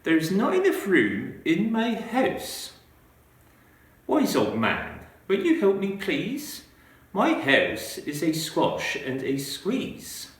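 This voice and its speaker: Man putting on a shrill, wailing little old lady. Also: huge pitch swings, exaggerated voice. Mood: grumbling. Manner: wailing, drawn-out exclamations. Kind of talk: children's storybook character voice. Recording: very echoey room.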